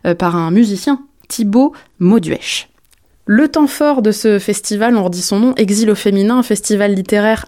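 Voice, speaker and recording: A woman hosting a radio interview, asking questions and briskly announcing event dates and places. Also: medium pitch, warm tone. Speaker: radio host.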